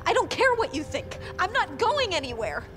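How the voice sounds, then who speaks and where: Defiant teen girl, high voice, swings up and down, emotional game drama, music behind. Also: sing-song. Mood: angry defiance. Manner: emotional, halting, pleading and protesting. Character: upset, defiant teenage girl test subject. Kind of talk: dramatic video game acting. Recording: music under the voice.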